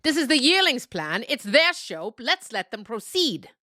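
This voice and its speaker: A bossy mother goat barks orders to her herd in a high, animated voice, as a woman narrator plays her. Storybook reading, close and dry. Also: measured pace, no echo, exaggerated voice. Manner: bossy, commanding.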